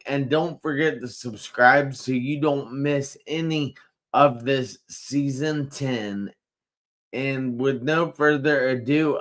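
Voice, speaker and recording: A man hosting a live online talk show, speaking slowly and emphatically and repeating words for effect. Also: medium pitch, a bit bright. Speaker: host. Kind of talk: live-stream show host.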